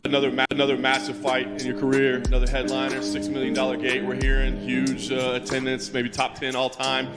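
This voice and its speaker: A man's voice: medium pitch, monotone, fairly quick, few pauses. Male sports interviewer over music. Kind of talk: interview questioning.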